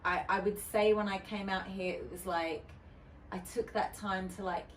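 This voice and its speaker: A woman speaks as a guest on a podcast, candid and chatty, her thoughts circling through fillers and restarts. Her voice sits at an ordinary middle pitch. The mic is some distance off in a roomy space with a faint hiss behind her. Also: steady tone, moderate pace. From United Kingdom. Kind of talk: casual podcast interview.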